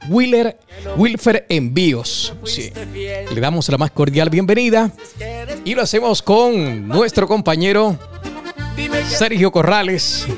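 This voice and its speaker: Man, radio host, upbeat announcer voice, slightly high, music underneath. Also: expressive, slow pace, pauses often. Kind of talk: radio show hosting.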